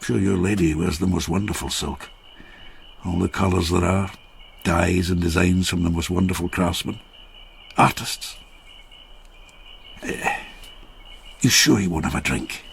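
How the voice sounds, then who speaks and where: An actor playing a widowed peasant farmer, boisterous and fond of drink, who rambles jovially and turns reflective in a radio drama. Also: male voice, low voice, fairly even intonation, measured pace, gravelly, long pauses, a little hiss or hum, full character voice. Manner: jovial, rambling, slightly tipsy. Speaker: Takeshi, a farmer friend.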